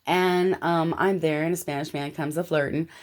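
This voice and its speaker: An American woman from Los Angeles talking casually and telling personal anecdotes in an animated, slangy way. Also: low voice, moderate pace. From Los Angeles, United States.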